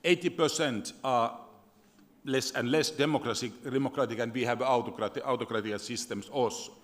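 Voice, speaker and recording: A man's voice: mid-range voice, moderate pace. A man, a parliamentarian, asking an earnest, wry question from the floor of a panel. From Finland. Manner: earnest, repeats words, wry humor. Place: large conference hall. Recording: reverberant conference hall.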